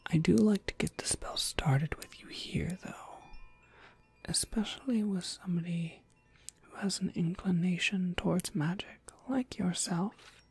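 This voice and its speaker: Slow whispering witch played by a woman. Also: medium pitch, dry recording, heavily acted. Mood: gentle, attentive. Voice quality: soft, breathy whisper. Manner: unhurried, polite, softly reassuring. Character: gentle, attentive witch shopkeeper crafting custom spells. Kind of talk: whispered ASMR roleplay.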